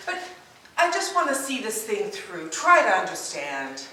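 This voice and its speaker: A woman's voice: mid-range voice, huge pitch swings, a little slow, bright, forceful tone, full character voice. An actress in an amateur play rehearsal, playing a wry, apologetic but determined woman. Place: echoey indoor rehearsal space. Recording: echoey room, distant mic, faint noise.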